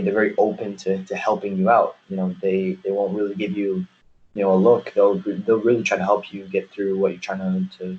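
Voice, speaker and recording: A man's voice: on the low side, dull audio. An American man speaking casually as an interview guest, explaining things in a rambling way peppered with "you know". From United States.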